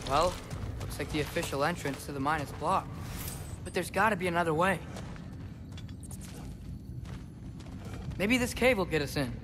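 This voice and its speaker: A man's voice: fairly high pitch, brisk, background noise, lightly acted. An actor playing an earnest, eager, headstrong teenage boy in a video game. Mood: eager, curious. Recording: game music behind.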